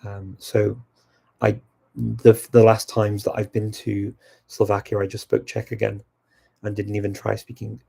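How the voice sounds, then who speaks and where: Gravelly, low-voiced man giving advice. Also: a little slow. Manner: relaxed, reflective advice-giving. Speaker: host answering viewer questions. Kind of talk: casual live Q&A chat.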